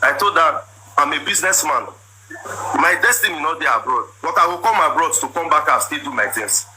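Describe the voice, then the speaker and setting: A man in his thirties with a Nigerian accent, telling his side of a marriage dispute in a loud, forceful, repetitive way. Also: on the high side, lively intonation, a little fast. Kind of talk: emotional personal account. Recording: noisy background.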